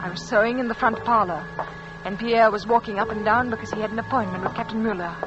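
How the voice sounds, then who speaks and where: An actress playing a frightened, haunted French village wife who tells her story in a hushed, anguished radio-drama style. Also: on the high side, fairly even intonation, quick pace, natural voice. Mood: grim, haunted. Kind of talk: 1940s-50s radio drama acting, confessional narration. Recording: dull old radio recording, music underneath.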